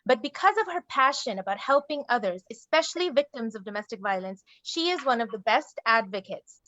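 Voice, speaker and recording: A woman's voice: a little high, lively intonation, fairly quick, sharp tone, dry recording, muffled recording. A woman moderating an online panel, introducing guest speakers in a formal but friendly way over a video call. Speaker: moderator and case management director.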